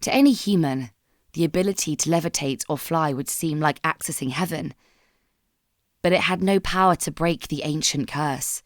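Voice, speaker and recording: Low-voiced woman narrating dramatic fiction. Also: moderate pace, no room sound, studio quality. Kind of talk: scripted dramatic story narration.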